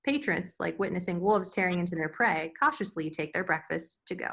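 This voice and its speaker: A woman's voice: fairly low pitch, fairly quick, vocal fry, bright, forceful tone. A woman reading screen directions as the narrator, in scripted narration style.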